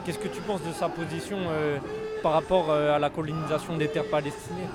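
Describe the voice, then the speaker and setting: A man's voice: fairly high pitch, flat delivery, brisk, music in the background. A male radio interviewer asking long, rambling questions full of fillers at a street demonstration. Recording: loud crowd noise at a street protest. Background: loud protest crowd.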